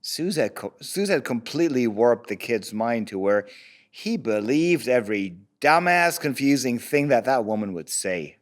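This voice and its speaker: A man's voice: mid-range voice, very animated, moderate pace, lightly acted. An actor playing a defensive, abusive deadbeat father under interrogation in an audio drama, evasive, rambling and profane. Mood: rambling, frustrated. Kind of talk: audio drama table read.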